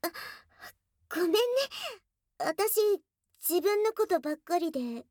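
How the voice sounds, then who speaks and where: High-pitched bashful tsundere girlfriend. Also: animated, a little slow, darkish tone, no echo, studio quality. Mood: shy, hesitant. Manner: flustered, stammering, breathy asides dropping into whispers. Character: tsundere young girlfriend, bashful, jealous, teasingly bold. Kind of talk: Japanese ASMR situation voice drama, intimate. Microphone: close binaural microphone, dry studio.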